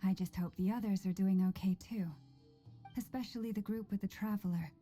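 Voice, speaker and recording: A woman playing a soft-spoken, earnest, caring young woman in a video game, gentle and a little hesitant. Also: medium pitch, steady tone, fairly quick, faint music behind, natural voice. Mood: worried. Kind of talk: video game character acting.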